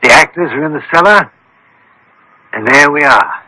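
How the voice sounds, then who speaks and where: Genius detective in a radio mystery, precise n bossy, super bright voice, dry close sound. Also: male voice, on the high side, lively intonation, moderate pace, dry recording, exaggerated voice, rough recording. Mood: explaining, assured. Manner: precise, explanatory, commanding. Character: brilliant, methodical male scientist detective called the thinking machine. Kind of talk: radio mystery drama acting.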